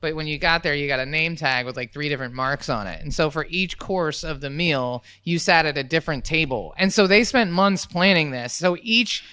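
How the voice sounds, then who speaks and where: A woman hosting a podcast, telling stories in animated casual chat and swearing freely. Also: deep voice, a little fast, hardly any pauses, clear, resonant tone, no echo. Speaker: podcast host.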